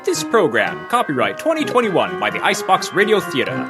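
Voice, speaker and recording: An old-time radio announcer delivers closing credits with very wide, swinging intonation. Music plays clearly behind the voice. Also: medium pitch, huge pitch swings, a little fast.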